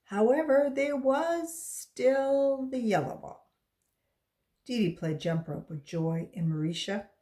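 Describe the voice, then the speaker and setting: A woman reading a storybook aloud to children as a volunteer, in an expressive narrating style. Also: a little high, slow pace.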